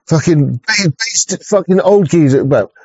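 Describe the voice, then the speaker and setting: A man's voice: on the high side, lively intonation, unhurried, a little mellow, slightly rough, dry recording. Swearing man, muffled mic. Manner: heavy swearing, frequent stammered restarts. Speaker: livestream host. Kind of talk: casual rambling monologue. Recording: slightly muffled dry mic.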